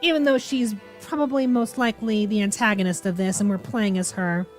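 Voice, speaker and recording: A woman's voice: mid-range voice, steady tone, a little fast, faint music behind. A woman streaming as a VTuber, giving wry, theatrical commentary as she plays through a game. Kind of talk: playthrough commentary with dramatic reading.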